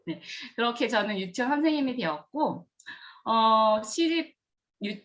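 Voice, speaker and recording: A middle-aged woman, a kindergarten director giving an earnest storytelling career talk to students. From rural Gyeongsang region of Korea. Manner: earnest, acts out remembered dialogue with lively pitch jumps.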